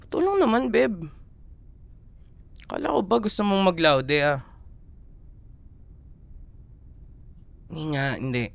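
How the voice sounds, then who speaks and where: A man's voice: sits high, huge pitch swings, normal speed, gravelly, no echo, heavily acted. A young man with a Filipino accent playing a playful, affectionate but exasperated student boyfriend in an intimate audio roleplay, coaxing and teasing as he talks. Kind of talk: ASMR boyfriend roleplay, casual Tagalog banter.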